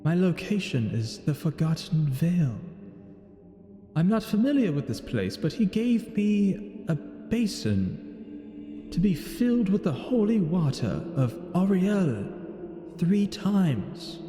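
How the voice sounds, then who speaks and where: A man's voice: sits high, sing-song, slow, dark tone, exaggerated voice. A man voicing a lonely, brooding thousand-year-old vampire who narrates his tale in solemn, formal, theatrical phrasing. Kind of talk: scripted in-character story narration over gameplay. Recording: echoing hall-like reverb, music behind. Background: music.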